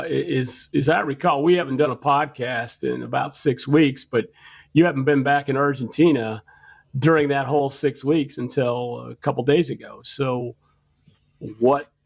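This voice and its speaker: A man's voice: medium pitch, creaky, a little mellow. A man co-hosting a casual podcast from home, talking in a rambling way with frequent restarts and fillers. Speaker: podcast co-host.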